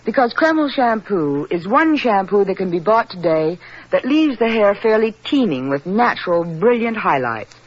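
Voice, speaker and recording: A man reading a gushing scripted sales pitch in a 1940s radio advertisement. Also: big pitch swings, moderate pace. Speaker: commercial co-announcer. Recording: muffled 1940s radio recording.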